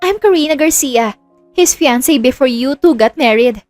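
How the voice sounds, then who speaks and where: A woman's voice: high voice, lively intonation, moderate pace, darkish tone, no echo, faint music behind, voice pushed up. A woman playing a haughty, flirtatious former fiancée with a pointed, falsely sweet manner in an audio romance. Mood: catty, smug.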